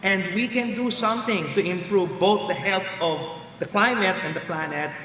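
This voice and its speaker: A man with a Filipino accent giving a talk over a video call from a hotel room, speaking loudly with many fillers. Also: high-pitched, normal speed, slight background noise. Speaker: guest lecturer. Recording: echoey, roomy, distant video call audio.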